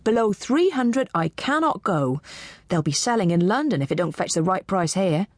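A woman's voice: medium pitch, big pitch swings, moderate pace, a little mellow, no echo, studio quality. Woman playing a flustered, polite male auctioneer. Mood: embarrassed. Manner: formal, apologetic, fragile authority.

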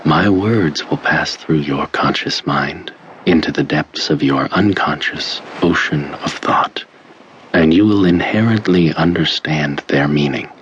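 An extremely deep-voiced hypnotist guides the listener through a tape in an audio drama. He is calm and controlling, with slow, deliberate, soothing phrasing. The sound is dull and lo-fi like a cassette, with music underneath. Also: a little hiss or hum. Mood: soothing, hypnotic. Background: faint music.

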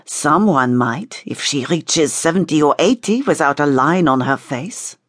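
A woman's voice: deep voice, unhurried, vocal fry, a little mellow, no room sound, studio quality. A woman voicing a flippant, amused male vampire in an audiobook, speaking in an unserious drawl. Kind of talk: audiobook character dialogue.

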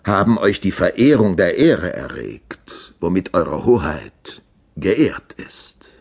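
A man's voice: deep voice, sing-song, slow, gravelly, slightly rough, voice pushed down, exaggerated voice. A man reading aloud as a florid writer of old chivalric romances, in solemn, grandiloquent declamation. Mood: lofty, solemn.

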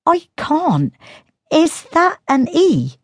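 A woman voicing a teasing high-voiced young sister. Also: wildly swooping pitch, no echo, heavily acted. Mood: curious. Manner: mocking, quick retorts.

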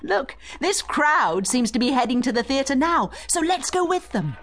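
A woman giving scripted educational narration as a guide, in an instructive, expressive storytelling manner. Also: high-pitched, unhurried. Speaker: study guide narrator and tour guide.

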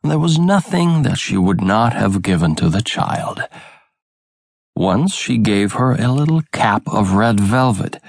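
A man's voice: fairly low pitch, no room sound. A man narrating a children's fairy tale as an audiobook reader.

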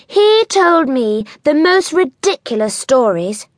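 A woman's voice: high voice, big pitch swings, slow pace, no room sound, studio quality, voice pushed up, exaggerated voice. A woman voicing a dainty, snooty young girl in a children's audiobook, haughty, emphatic and dismissive. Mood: scornful.

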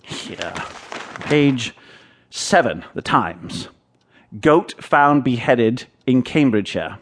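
An actor playing a haughty, guarded Edwardian gentleman investigator of the occult, dry and sardonic, in a radio drama. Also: medium pitch, expressive, takes it slowly, a little hiss or hum. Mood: grave, foreboding.

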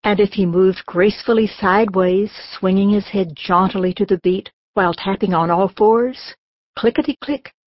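A woman reading a children's storybook as an audiobook narrator, in an expressive storytelling manner. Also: medium pitch, moderate pace, no echo. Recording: dull, lo-fi audiobook sound.

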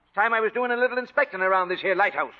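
Old sea captain, high voice, folksy and blustery, muffled old radio. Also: male voice, animated, racing. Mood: gruff, sparring. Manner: folksy, rough-grammared, gruff sparring. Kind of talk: 1940s radio drama acting. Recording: muffled vintage radio broadcast.

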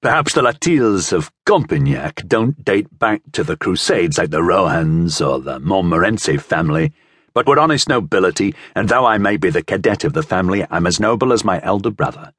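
A man's voice: sits low, very animated, normal speed, fairly bright tone, husky, dry recording, clean studio sound, full character voice. A man voicing a proud, blunt sellsword of minor nobility in an audiobook adventure. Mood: indignant pride. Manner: blunt, scornful, firm.

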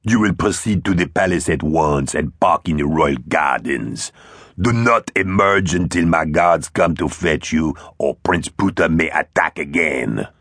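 A menacing reptilian captain of the palace guard. The voice is very low and croaks and snarls. He speaks curtly and formally, as one used to giving orders. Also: lively intonation, a little slow, fairly bright tone, no echo, clean studio sound, full character voice. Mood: stern, commanding. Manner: formal, commanding.

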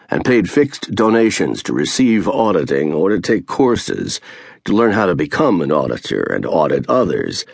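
Gravelly low-voiced male audiobook narrator. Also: no room sound, studio quality. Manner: measured, earnest first-person reading with slowed emphasis on key phrases. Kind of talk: scripted memoir narration.

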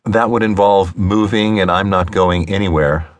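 A sardonic woman adventurer as a man narrator reads her in an audiobook. She is stubborn and grumbles with sarcasm. The voice is lifted above the usual deep narration, and the sound is dry. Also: normal speed, dry recording, natural voice. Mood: sarcastic. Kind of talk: audiobook character dialogue.

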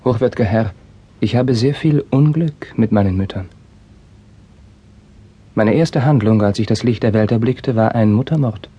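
A tormented young crown prince of classical drama. The voice is fairly low and rough-edged. He declaims formal verse with bitterness and intense passion. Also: moderate pace, pauses often, warm tone. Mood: bitter grief. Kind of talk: classical German stage drama acting.

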